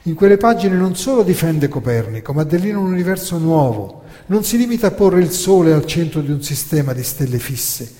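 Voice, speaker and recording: A man narrating history as scripted dramatic storytelling, in a theatrical, measured way with rhetorical pauses and emphasis. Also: fairly high pitch, expressive, warm tone. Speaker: narrator and author of a radio theatre monologue. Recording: long reverberant hall sound.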